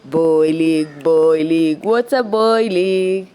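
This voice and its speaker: A young Kenyan woman with a Kenyan accent narrating her outdoor cooking vlog in a relaxed, chatty way, a little stuffy from a cold. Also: low voice, drawn-out words, smooth. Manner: relaxed and chatty, with frequent "yeah" and restarts. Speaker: cooking vlogger. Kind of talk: casual voice-over narration of a cooking video.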